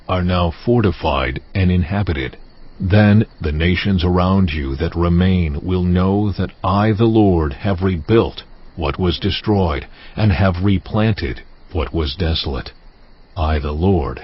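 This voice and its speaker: An actor playing God in a dramatized scripture reading, speaking solemnly and deliberately, in a commanding, declamatory manner. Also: male voice, low voice. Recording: dull muffled audio with music behind. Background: music.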